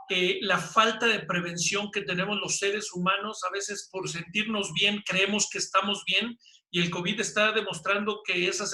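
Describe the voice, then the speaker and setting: Mexican male interview host, high forceful voice, roomy echoing sound. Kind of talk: conversational interview.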